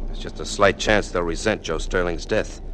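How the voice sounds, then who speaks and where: An actor playing a bullying political boss in a 1940s radio drama. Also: male voice, low voice, normal speed, a bit bright, a little hiss or hum. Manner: bullying, gruff. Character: menacing male political boss.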